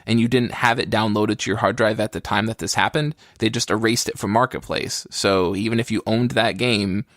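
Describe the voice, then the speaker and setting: Low-voiced man chatting, dry close recording. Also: fairly even intonation, brisk, a bit bright, no room sound. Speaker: podcast co-host. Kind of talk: casual comedic chat.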